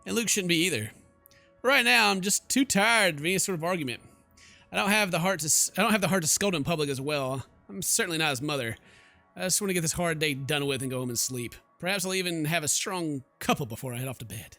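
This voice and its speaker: A man streaming a game, giving animated, jokey commentary and reading the story narration aloud. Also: a little high, fast talker, faint music behind. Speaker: gaming streamer.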